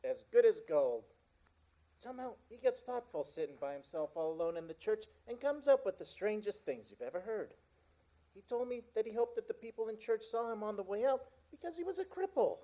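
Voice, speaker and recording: A devoted, gentle father acted by a man in a radio play. His mellow voice moves with lively intonation, heard through a slightly dull recording. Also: high-pitched, a little fast. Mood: tender.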